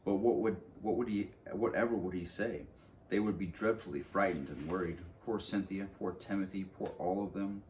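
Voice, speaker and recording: Low-voiced man reading a storybook aloud. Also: moderate pace, darkish tone. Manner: informal, stumbles and rereads phrases. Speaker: read-aloud narrator. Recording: faint background noise.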